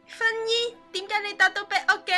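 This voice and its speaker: A woman acting an excitable young sidekick girl in an amateur radio drama. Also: very high voice, fairly quick, heavily acted. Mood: cheerful, surprised. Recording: radio drama with music underneath.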